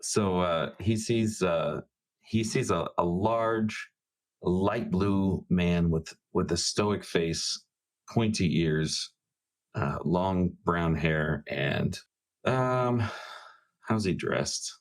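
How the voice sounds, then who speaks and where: A man with a deep voice talks as a tabletop game player. He takes his time, with a casual, improvised delivery. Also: extremely slow. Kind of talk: casual, unscripted game talk.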